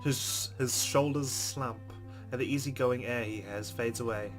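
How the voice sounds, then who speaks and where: A man's voice: medium pitch, unhurried, faint music behind. A man hosting a let's play, expressively reading a visual novel's narration aloud and adding his own reflective reactions.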